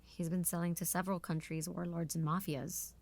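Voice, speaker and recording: A woman's voice: fairly low pitch, flat delivery, a little fast, darkish tone. A woman playing a defiant, no-nonsense military strategist in a scripted drama, speaking in a firm, assertive way. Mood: impatient, businesslike. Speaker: voice actor in audio drama.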